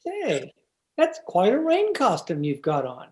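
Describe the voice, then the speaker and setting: An actor playing a polite, hesitant male high school biology teacher in an old-time radio comedy. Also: wildly swooping pitch, a little slow, heavy background noise, full character voice. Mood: mild, agreeable. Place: remote recording over video call.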